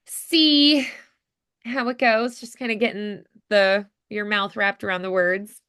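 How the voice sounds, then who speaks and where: A woman's voice: medium pitch, fairly even intonation, slow, sharp tone, clean tone. A woman coaching voice acting in a casual one-on-one lesson, thinking aloud and encouraging as she goes.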